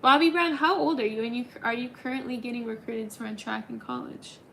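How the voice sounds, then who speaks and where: A woman's voice: fairly high pitch, steady tone, fairly quick, vocal fry, pushed and bright, a little hiss or hum. Chatty young woman on a phone livestream. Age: 20s. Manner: chatty, answers comments as they come, many ums. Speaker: livestream host. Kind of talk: casual livestream chat reading viewer comments.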